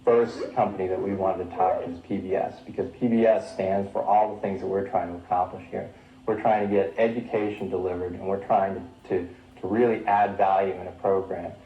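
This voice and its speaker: A man's voice: deep voice, fairly even intonation, brisk. A man explaining business plans in plain, conversational talk on a dull, roomy recording. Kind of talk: talking about business plans. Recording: dull, roomy archive recording, faint noise.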